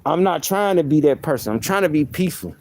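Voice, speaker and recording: A man with a soft voice, venting on a live stream in a heated, profane way, often repeating himself. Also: fairly high pitch, animated, fast talker. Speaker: livestreamer.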